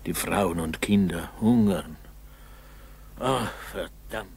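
A man's voice: deep voice, slow, creaky, a little mellow, slightly rough, full character voice. An older man playing a plain-spoken, grumbling village grandfather in a 1960s German radio drama, muttering and exclaiming. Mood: bitter lament. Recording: noisy old 1960s radio recording.

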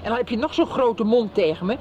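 Stern, determined woman actress, animated delivery. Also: on the high side, fast talker, dull audio, slight background noise, close to an everyday voice. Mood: defiant. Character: stern, determined, fearless sister-in-law. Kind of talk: Dutch radio soap acting.

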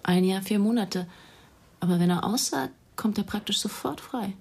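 A determined female police investigator in a radio crime drama. She speaks at a medium pitch, firm and matter-of-fact, insistent yet guarded. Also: normal speed, darkish tone, breathy edge, no room sound. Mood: confiding, cautious. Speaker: actor playing a state police investigator.

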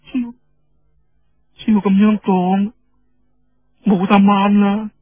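A man voicing a distraught, lovelorn young woman in a Cantonese storytelling, plaintive and drawn-out, with a tearful edge. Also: sits high, level tone, faint music behind, voice pushed up, full character voice. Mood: anxious, alarmed. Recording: dull lo-fi radio sound with room echo.

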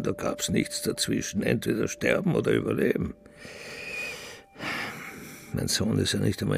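An actor playing a bitter, contemptuous old businessman father telling his story in a scornful, deliberate radio-drama monologue. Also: fairly low pitch, exaggerated voice. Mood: hard, scornful. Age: older. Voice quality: gravelly, creaky.

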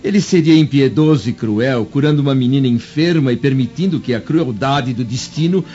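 A man's voice: mid-range voice, fairly quick, mellow, lightly acted. An actor playing a proud, bitter, grieving Roman father in a declamatory radio drama style. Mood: bitter, indignant. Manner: declamatory, anguished. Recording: dull lo-fi radio drama, music underneath.

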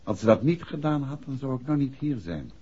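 An actor playing a shrewd, courteous gentleman detective with a Netherlands Dutch accent in a 1960s radio drama, polite but probing. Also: medium pitch, animated, a little fast, breathy edge. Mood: probing, direct. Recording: muffled old radio recording.

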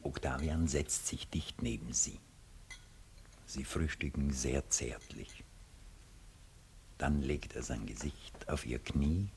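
A man narrating stage directions for a 1960s radio play in a measured, formal, precise manner. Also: a bass voice, vocal fry, a little mellow, full character voice. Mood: descriptive. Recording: faintly hissy old radio recording.

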